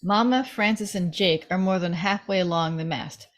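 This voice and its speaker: A woman's voice: lowish voice, moderate pace. A woman reading stage directions as narrator in a scripted table read.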